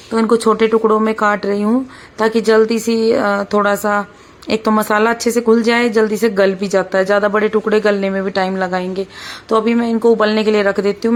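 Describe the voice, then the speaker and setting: A woman in her thirties with a hoarse, sore voice, chatting casually through a vlog as she cooks. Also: on the high side. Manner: chatty, keeps saying "bhai", talks while cooking. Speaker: vlogger.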